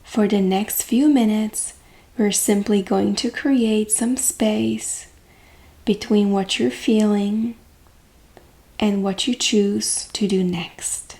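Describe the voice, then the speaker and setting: Slow, gentle woman guiding meditation. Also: medium pitch. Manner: gentle, calming, unhurried delivery with long pauses. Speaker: emotional eating coach and meditation guide. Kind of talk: guided meditation.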